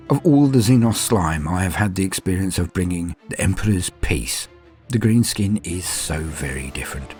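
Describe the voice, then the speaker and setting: A man reading as a proud, battle-hardened male space marine veteran, recounting battle in a measured, grim, matter-of-fact way. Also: sits low, a little mellow. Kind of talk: first-person fiction reading.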